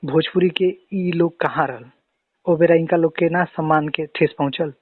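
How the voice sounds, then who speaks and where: A man's voice: on the high side, fairly even intonation, moderate pace, husky, dry recording. A man speaking as an interviewer on a recorded phone call. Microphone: phone line. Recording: tinny, band-limited phone call recording.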